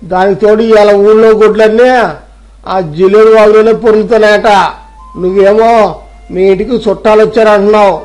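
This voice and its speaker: A man's voice: high-pitched, level tone, brisk, a little mellow, faint music behind, close to an everyday voice, rough recording. A man playing a weary, grumbling village man with speech in a moral story. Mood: cautioning. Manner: complaining, colloquial rustic speech. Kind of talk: Telugu moral story audio drama.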